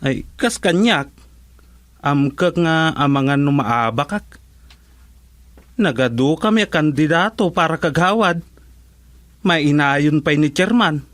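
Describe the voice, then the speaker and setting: A man's voice: fairly high pitch, lively intonation, slow, long pauses, fairly bright tone, dry recording. A man with a Filipino accent acting as an easygoing, talkative village council candidate in a comedy radio drama, full of exclamations. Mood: conversational.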